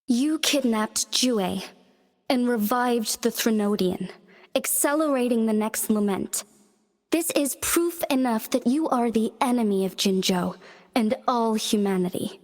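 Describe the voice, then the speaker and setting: A woman voices a young game magistrate, calm and dignified, with a slightly high voice. She speaks in a composed, formal way, and a long reverb hangs on the audio. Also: measured pace, natural voice. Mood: stern. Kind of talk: video game cutscene voice acting.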